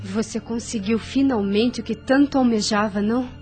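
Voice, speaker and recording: Woman acting proud wounded mother, high voice, earnest and reproachful, radio drama delivery, music underneath. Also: normal speed, darkish tone, natural voice. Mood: firm, reproachful. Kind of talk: radio soap opera acting.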